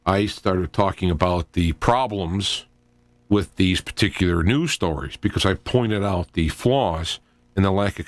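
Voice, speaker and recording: Male talk radio host, middle-aged, lowish register, dry sound. Informal solo monologue, halting and digressive delivery. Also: no room sound. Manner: halting, digressive, thinking aloud.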